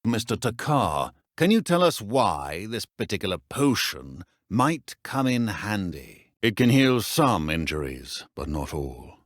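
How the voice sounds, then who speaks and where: Stern, low-voiced British potions professor. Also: male voice, no echo, studio quality. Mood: measured, instructive. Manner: measured, exacting, deadpan. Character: stern, dry-witted middle-aged male potions professor. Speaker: voice actor in a video game. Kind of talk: scripted game dialogue.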